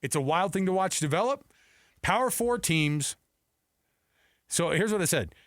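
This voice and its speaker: A man's voice: high-pitched, animated, a little slow, a bit bright, no echo, studio quality. A man hosting a sports radio show in casual talk, often acting out imagined quotes.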